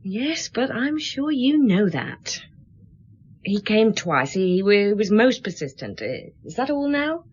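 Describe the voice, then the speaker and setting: A married woman being questioned in a radio drama. She is curt, sarcastic and indignant, and her pitch swings about freely. The recording sounds slightly dull. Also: sing-song, a little slow, darkish tone, slightly rough. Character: prickly, defensive married woman under police questioning.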